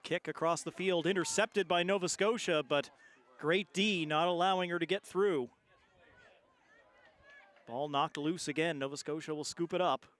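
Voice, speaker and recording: A man with a fairly high voice delivers live sports play-by-play. He follows the action as a commentator, describing each move as it happens. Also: moderate pace, fairly bright tone.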